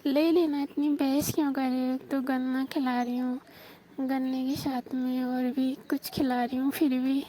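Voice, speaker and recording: A woman's voice: high voice, little pitch movement, a little slow, mellow, slight background noise. A woman from Rajasthan on a recorded phone call, teasing and bargaining in a coy, flirtatious way.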